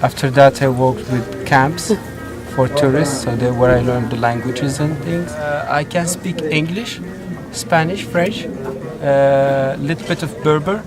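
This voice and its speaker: A man, a desert-raised nomad guide whose first tongue is Hassania. He speaks plain, halting English at a middle pitch. Loud music plays under his voice. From Morocco. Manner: simple, halting English with laughter. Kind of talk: interview.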